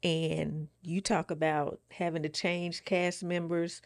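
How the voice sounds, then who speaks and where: A woman's voice: on the low side, flat delivery, slow, no echo, studio quality. A woman co-hosting a podcast, chatting casually and conversationally. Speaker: podcast co-host.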